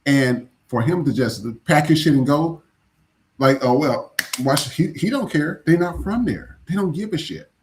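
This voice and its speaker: A man's voice: fairly high pitch, lively intonation, moderate pace, a little mellow, a little hiss or hum. A man from the United States co-hosting a news podcast, talking loosely and indignantly with some profanity. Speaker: podcast co-host. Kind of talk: casual news commentary.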